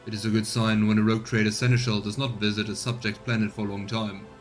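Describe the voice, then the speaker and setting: A man voicing a dutiful male seneschal with measured, formal politeness, reading along with a game. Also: lowish voice, level tone, soft background music, lightly acted. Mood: polite, probing.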